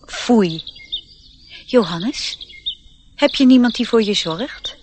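An actress playing a friendly, curious, gently chiding young girl in a radio play. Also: fairly high pitch, animated, slow, a little hiss or hum.

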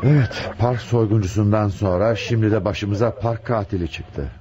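An actor playing an authoritative, inquisitive police chief inspector in a radio drama, questioning in a measured way. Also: male voice, fairly low pitch, lightly acted. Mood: businesslike. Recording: muffled old radio broadcast, crowd murmur.

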